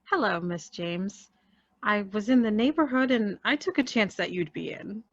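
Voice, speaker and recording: Woman as visiting lady, mid-pitched, radio drama, dull recording. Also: animated, moderate pace, no echo. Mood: breezy. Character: unexpected lady visitor with a new pet. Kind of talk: radio play acting.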